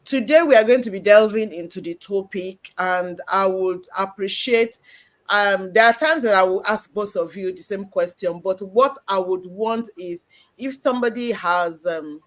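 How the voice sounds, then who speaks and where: A church live-stream host guiding a panel discussion. The voice sits at a middle pitch, and the delivery is conversational and explanatory, full of "you know" asides. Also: moderate pace, fairly bright tone. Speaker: host, moderator.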